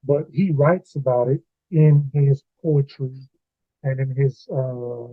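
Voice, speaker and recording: A man's voice: medium pitch, slow, no room sound. A man co-hosting a casual scripture discussion, explaining passages to the listeners. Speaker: co-host of a Bible read-along discussion. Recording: narrow phone-like sound, very dry.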